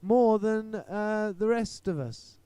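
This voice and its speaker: A man hosting a casual podcast chat, talking in a relaxed, rambling way. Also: high-pitched, slow pace, crowd noise. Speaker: podcast host.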